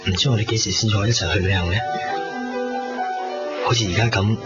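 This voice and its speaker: A man's voice: on the low side, steady tone, fast talker, natural voice. An actor playing an earnest, wistful young man in love, in a 1990s radio drama with a Hong Kong Cantonese accent. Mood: relaxed, wistful. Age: young adult. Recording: dull 1990s radio recording, loud music behind.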